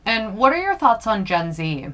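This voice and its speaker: A woman's voice: medium pitch, big pitch swings, moderate pace, pushed and bright. A woman hosting a podcast interview, asking questions and giving short encouraging replies. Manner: brief encouraging backchannels. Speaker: podcast host. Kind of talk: casual interview.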